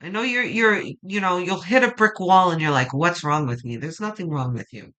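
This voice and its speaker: A woman with a low voice that turns gravelly when quiet, slowly and reassuringly reading tarot cards and thinking aloud. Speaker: tarot reader.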